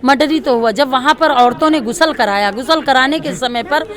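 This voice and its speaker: A woman's voice: sits high, normal speed, fairly bright tone. A woman gives an impassioned street interview, emphatic and repeating her points. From Delhi, India. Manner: emphatic, repetitive. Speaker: local protester interviewed. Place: outdoors at a protest. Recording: outdoor street with crowd noise.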